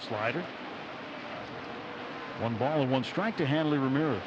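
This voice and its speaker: Male baseball radio announcer over loud ambience. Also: mid-range voice, animated, unhurried, background noise. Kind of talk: live radio sports commentary. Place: outdoor ballpark broadcast booth. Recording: dull radio broadcast, loud stadium ambience. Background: loud outdoor ballpark ambience.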